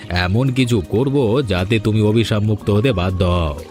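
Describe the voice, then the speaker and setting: A man's voice: on the low side, unhurried, darkish tone, natural voice. A man playing a plain-spoken, pushy village farmer in a scripted cartoon story, with music under the voice. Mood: pushy, threatening.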